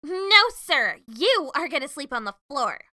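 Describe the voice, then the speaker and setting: A woman's voice: high voice, sing-song, measured pace, pushed and bright, no room sound, clean studio sound, exaggerated voice. A woman voicing a feisty, lovestruck young hedgehog girl in a cartoon comic dub, emotive and full of exclamation. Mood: indignant. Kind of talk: fan comic dub acting.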